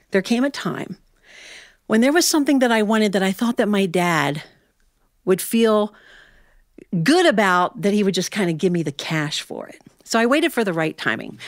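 A woman's voice: mid-range voice, lively intonation, moderate pace, long pauses, dry recording, studio quality. American woman telling a story live. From Ohio, United States. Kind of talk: live personal storytelling to an audience.

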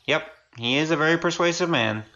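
An actor in an audio drama playing a quick-witted young swordsman, wry and coaxing. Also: mid-range voice, highs cut off, background noise, close to an everyday voice. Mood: brisk, friendly.

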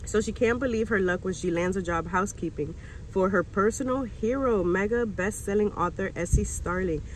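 A woman's voice: mid-range voice, normal speed, low-quality recording. A young adult woman with an American accent, talking casually to camera as a vlogger on a handheld phone in a car. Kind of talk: casual chatty vlog talk to camera. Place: inside a parked car. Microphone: handheld phone at arm's length. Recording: phone in a car, faint background noise.

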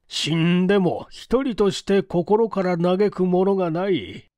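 A man's voice: high voice, darkish tone, dry recording, clean studio sound. A man voicing a weary, brooding police chief in an audiobook mystery, with heavy, ponderous, gloomy delivery. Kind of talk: audiobook character dialogue.

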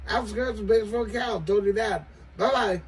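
A man's voice: high-pitched, moderate pace. An older American man calling out on CB radio in a chanting, repetitive way, recorded indoors from a distance. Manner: chanting, repetitive sign-offs. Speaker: CB radio operator. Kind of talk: CB radio calling with repeated catchphrases. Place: small cluttered home radio shack, indoors. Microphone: far camera, while speaking into CB desk microphone. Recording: distant mic, some background noise.

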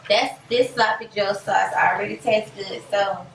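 Young adult woman, American, casual cooking host, sassy and folksy, distant echoey sound with clear background noise. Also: mid-range voice, steady tone, normal speed. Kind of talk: casual home cooking chat. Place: home kitchen, indoors. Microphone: far camera microphone. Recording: roomy, distant, noisy kitchen recording.

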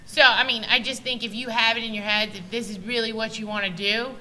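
A woman's voice: fairly high pitch, steady tone, fairly quick, pushed and bright, slight background noise, poor audio. A woman in her thirties on a university panel, speaking in an earnest, direct way on a table microphone. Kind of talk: casual panel talk. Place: university meeting room.

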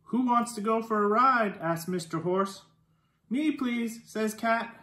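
A children's storytime reader with a slow, measured delivery and a medium-pitched voice. The picture book is read expressively, with the dialogue acted out. The room adds a noticeable echo. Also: sharp tone. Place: living room. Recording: roomy indoor echo.

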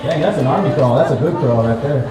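Man doing instructive male puppet, slightly high voice, children's show, quizzing, echoey, far from the mic. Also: fast talker, lightly acted. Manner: instructive, prompting with questions. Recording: echoey mall, distant mic, crowd noise.

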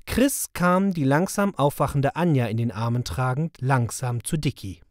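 A man's voice: medium pitch, normal speed, no echo, clean studio sound. A man delivering scripted narration as the storyteller of a children's audio drama.

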